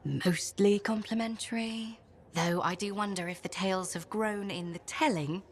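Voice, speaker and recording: A woman's voice: medium pitch, fairly even intonation, normal speed, lightly acted. An actress playing a witty, curious woman scholar.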